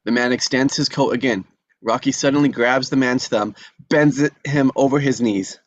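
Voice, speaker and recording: A man's voice: mid-range voice, steady tone, moderate pace, muffled recording. A man reading out stage directions as narrator in a scripted table read.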